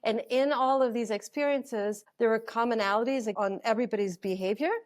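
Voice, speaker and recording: A woman's voice: on the high side, fairly quick. A woman in her forties, a life coach telling her story as a guest in an earnest, flowing, thoughtful way. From Canada. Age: 40s. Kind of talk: reflective storytelling interview.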